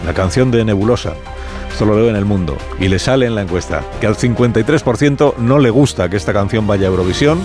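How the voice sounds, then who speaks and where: A man with a Castilian Spanish accent hosting a morning radio press review, conversational, with wry asides and rhetorical questions. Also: on the low side. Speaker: radio host. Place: radio studio. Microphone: studio broadcast microphone.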